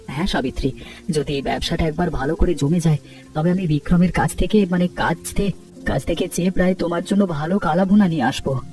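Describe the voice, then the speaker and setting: A man's voice: a little high, brisk, darkish tone, close to an everyday voice. A man voicing a humble, earnest and grateful poor rickshaw puller in a cartoon. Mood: earnest, hopeful. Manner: earnest, deferential. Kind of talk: dubbed cartoon dialogue. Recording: distant-sounding, music underneath.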